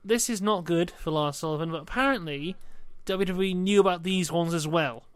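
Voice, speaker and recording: A young man with a high, smooth, resonant voice hosts a casual podcast chat. He teases his co-host with dry humour, and the sound is close and dry. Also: normal speed, no echo.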